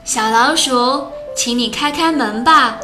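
A woman's voice: high-pitched, takes it slowly, music in the background. A woman voicing a polite little male gnome, eager and earnest, in a children's story. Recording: roomy echo.

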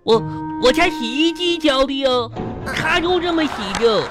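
A chubby little child, acted by a woman in a comedy radio play, earnest and cheeky, bragging in halting, childish phrases. The words come with simple repetitions and playful sound imitations. Loud music plays behind the voice. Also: high voice, lightly acted. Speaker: comedy radio show host.

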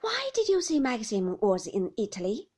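A woman's voice: fairly high pitch, wildly swooping pitch, normal speed, a little mellow, husky, full character voice. A woman voicing a frail, querulous very old woman who grumbles at her carers. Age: older.

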